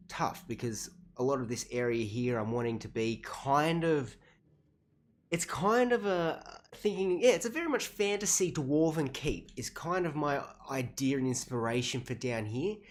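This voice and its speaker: An Australian streamer in their late twenties chatting casually through a livestream, rambling and self-deprecating with easy laughs. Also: mid-range voice. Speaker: game streamer. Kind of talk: casual livestream commentary while playing.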